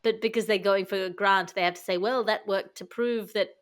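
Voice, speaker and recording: Woman hosting a comedy news show, chatty panel banter, medium pitched voice. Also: fairly even intonation, a little fast. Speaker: host of a comedy news podcast. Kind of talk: unscripted comic panel chat.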